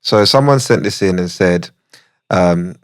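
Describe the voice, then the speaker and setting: A man's voice: deep voice, unhurried, clean tone. A man hosting a podcast, chatting casually and joking, then reading out a listener's letter. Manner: relaxed, jokey, laughs easily. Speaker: podcast host.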